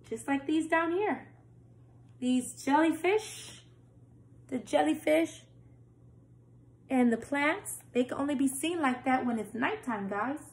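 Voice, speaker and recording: Young female children's storytime reader. Very unhurried, explains as she goes, roomy home recording. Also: high-pitched. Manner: simple explanatory asides, questions to young listeners. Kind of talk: reading a picture book aloud, with asides to children. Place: small plain-walled room indoors.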